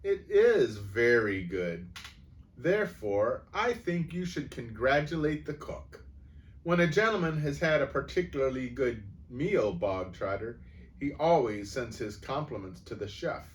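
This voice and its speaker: A man voicing a furious, bullying headmistress who barks and booms insults at a child. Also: animated, unhurried, natural voice. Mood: silky menace. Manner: booming, barking insults. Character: bullying hulking headmistress, woman, furious and cruel.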